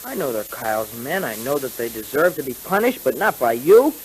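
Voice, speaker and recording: A man's voice: mid-range voice, big pitch swings, fairly quick, natural voice, rough recording. An actor playing an earnest, law-abiding boy sidekick in a 1930s radio adventure, speaking emphatically. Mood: earnest, firm. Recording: hissy 1930s radio recording.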